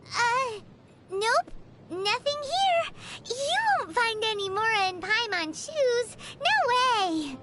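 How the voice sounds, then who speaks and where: A woman voicing a tiny, childlike, excitable and gluttonous girl companion in a video game, with a squeaky voice and exclamatory delivery. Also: takes it slowly, a little hiss or hum, full character voice. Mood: curious, cheeky. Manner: speaks of herself in third person, exclamatory. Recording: music behind the voice.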